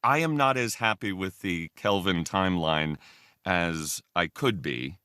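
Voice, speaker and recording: A man talking casually as a podcast guest, halting as he thinks aloud, with a self-deprecating manner. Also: low voice, pushed and bright, clean tone, dry recording, studio quality. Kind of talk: casual conversation.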